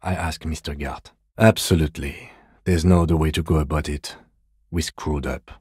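An actor playing a composed, weary police detective in a video game, with dry, deadpan, measured delivery. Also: male voice, extremely low pitch, breathy edge, no room sound. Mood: grave, composed.

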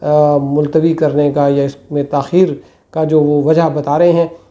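Man, 40s, political commentator, lapel mic, small room, warm tone. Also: a little high, flat delivery. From Pakistan. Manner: measured, many hesitations and fillers. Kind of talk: analytical commentary to camera. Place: small indoor room with plain walls.

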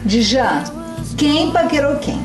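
Chatty Brazilian woman hosting a TV show. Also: sits high. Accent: Brazilian Portuguese. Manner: lively, chatty, quick questions. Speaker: talk show host. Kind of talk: casual TV interview. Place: small TV studio set with table. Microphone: lapel. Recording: roomy, slightly distant, with music.

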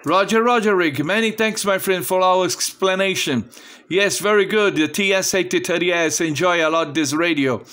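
A man's voice: high voice, moderate pace, fairly bright tone. A Brazilian man, a native Portuguese speaker, making a friendly amateur radio contact in non-fluent English. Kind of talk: casual ham radio contact. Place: indoor home radio station.

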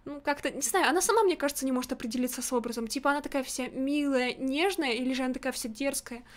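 High, fast-talking woman streamer, blunt. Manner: blunt, opinionated, quick to nitpick. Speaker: streamer reacting to a music video. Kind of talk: casual critical commentary. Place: home streaming room, indoors. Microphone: large studio mic in front, headset on.

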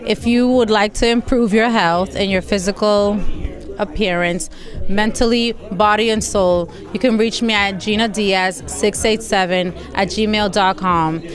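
A woman's voice: medium pitch, fairly even intonation, unhurried. A young woman from the Dominican Republic, a fitness trainer, speaking enthusiastically into a handheld mic at an event. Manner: upbeat, enthusiastic praise. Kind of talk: event interview testimonial. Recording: event crowd and music behind.

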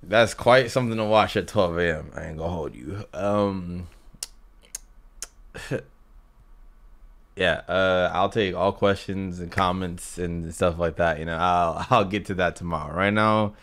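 A man in his twenties with an American accent, reacting casually and slangily, animated and easily flustered. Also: low voice, measured pace. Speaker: reaction video host. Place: small indoor room.

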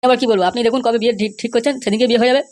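A man voicing a cheeky, nagging middle-aged father in a comic cartoon, brash and mocking, with a pitched-up voice. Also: fairly even intonation, darkish tone. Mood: businesslike. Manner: brash, mocking, fast-talking.

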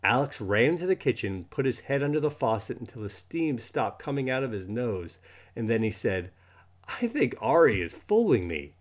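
A man reading a children's storybook aloud in an expressive manner, acting out the words as he goes. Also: medium pitch, fairly quick. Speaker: storybook reader. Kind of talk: children's book read-aloud.

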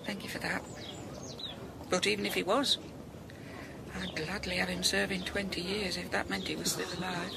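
A woman's voice: on the low side, steady tone, moderate pace, long pauses, very noisy. An actress playing a middle-aged grieving mother in a radio drama, speaking plainly. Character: grieving mother of a dead young man. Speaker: bereaved mother. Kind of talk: BBC radio drama acting. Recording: radio play with clear background ambience.

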